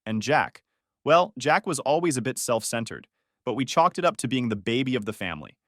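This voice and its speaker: A man narrates a reddit story in an upbeat storytelling way, his voice dropping low at the ends of sentences. His pitch sits in the middle for a man. The sound is close and dry, with no room echo. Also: big pitch swings, a little fast.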